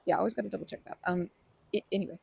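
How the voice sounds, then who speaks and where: A woman playing a timid, kind park regular in an ASMR roleplay, speaking softly in halting, stammering, self-correcting sentences. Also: on the low side, fairly even intonation, creaky, husky, dull audio, full character voice. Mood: nervous, hesitant. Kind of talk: ASMR roleplay, improvised-sounding intimate monologue.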